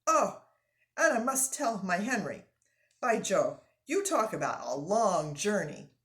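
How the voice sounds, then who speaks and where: Woman as French-Canadian lumberjack, storybook character, exuberant, French accent, broken English, emphatic, very animated. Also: medium pitch, a little slow. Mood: excited, amazed. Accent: put-on French.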